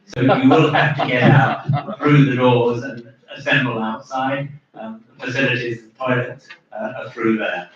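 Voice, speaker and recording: A man's voice: mid-range voice, steady tone, measured pace, hardly any pauses, a crowd in the background. A man opening a conference as its chair, speaking hesitantly with many fillers. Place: conference room. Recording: echoey hall from far off, dull sound.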